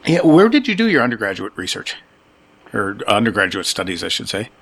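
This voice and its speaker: A man's voice: lowish voice, wildly swooping pitch, fairly quick, gravelly, fairly bright tone. A man with a Wisconsin accent hosting an interview podcast, asking chatty questions with small asides. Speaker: podcast host.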